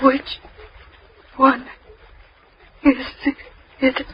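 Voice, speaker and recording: A woman's voice: sits high, level tone, extremely slow, lo-fi sound, a little hiss or hum. An actress playing a terrified young woman held captive, pleading melodramatically in a 1930s radio horror drama. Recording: old 1930s radio recording.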